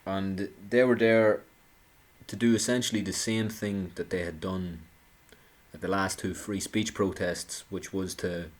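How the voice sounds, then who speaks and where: Low-voiced man, indignant commentary. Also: steady tone, measured pace. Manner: indignant, sarcastic, with many restarts. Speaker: political commentator. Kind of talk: monologue commentary.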